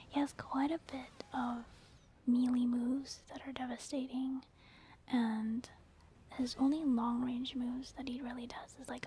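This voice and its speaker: A woman whispering breathy, casual ASMR commentary as she explains her gameplay. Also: a little high, a little mellow, a little hiss or hum. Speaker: ASMR game streamer. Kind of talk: soft-spoken ASMR game commentary.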